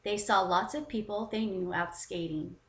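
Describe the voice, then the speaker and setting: A woman hosting a children's story time, narrating in an expressive, deliberate read-aloud style. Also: mid-range voice. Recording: roomy, echoing voice recording.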